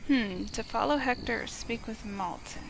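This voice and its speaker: A woman's voice: a little high, normal speed, vocal fry, noisy background. A woman reading narration from a visual novel aloud in a storytelling style, as a game streamer.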